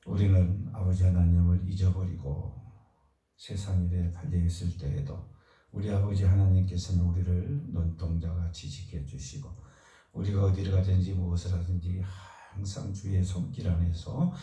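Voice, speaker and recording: Low-voiced pastor, slightly hoarse, echoey church. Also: male voice, level tone, normal speed, dark tone, far from the mic. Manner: fervent formal prayer, then plain chatty announcements. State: a bit hoarse from a cold. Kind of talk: sermon prayer and announcements. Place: church sanctuary.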